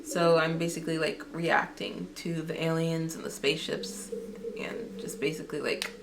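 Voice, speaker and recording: A woman's voice: sits low, fairly even intonation, normal speed, gravelly. A teenage woman, a student actor, talking casually in an interview. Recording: noisy recording.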